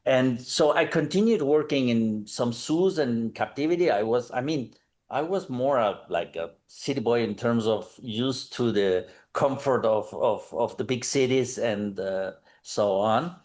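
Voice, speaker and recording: A man's voice: mid-range voice, moderate pace, a little mellow. A middle-aged man from Mexico City, a conservation manager answering interview questions thoughtfully, with many fillers and restarts.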